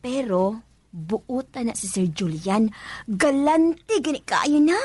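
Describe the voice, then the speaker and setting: A woman's voice: fairly high pitch, expressive, takes it slowly, darkish tone, slightly rough, no echo, heavily acted. A woman playing a chatty, earthy senior housemaid in a radio drama, gossipy and bossy, with a Filipino accent. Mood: gossipy, teasing.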